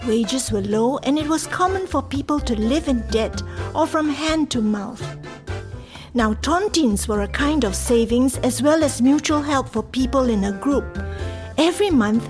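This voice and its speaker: High-voiced woman narrator over music. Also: lively intonation, a little slow. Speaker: radio play narrator. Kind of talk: scripted dramatic narration to listeners.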